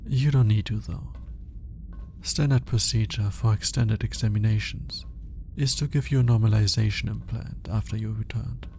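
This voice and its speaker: A man playing an alien scientist in an ASMR roleplay, formal and curious, with clinical wording and hesitant, flustered pauses as he awkwardly courts the listener. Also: fairly low pitch, fairly even intonation, warm tone, a little hiss or hum, close to an everyday voice. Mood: matter-of-fact, reassuring. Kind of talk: ASMR roleplay audio drama, speaking to the listener.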